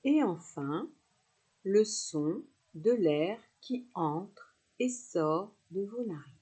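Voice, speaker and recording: A woman guides a relaxation meditation at a very slow pace, leaving pauses between her instructions. Her voice is mellow and husky, with a soothing, unhurried delivery suited to a yoga nidra class. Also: medium pitch, lively intonation.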